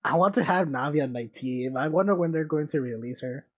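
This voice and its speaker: Higher-voiced man casually commenting on a game. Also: fairly quick. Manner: rambling, thinking aloud. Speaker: gaming streamer.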